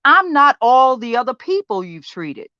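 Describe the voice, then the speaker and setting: A woman's voice: high voice, very animated, unhurried, pushed and bright, no room sound, lo-fi sound, heavily acted. A woman playing a desperate, depressed woman confronting her therapist in a pointed, emphatic dramatic audition monologue. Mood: defiant, desperate.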